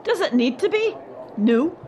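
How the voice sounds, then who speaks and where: A woman's voice: sits high, sing-song, a little slow, muffled recording, noisy background. A woman playing a defensive, steely head of gymnastics in a radio play, speaking in a firm, clipped, official way. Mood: guarded, firm.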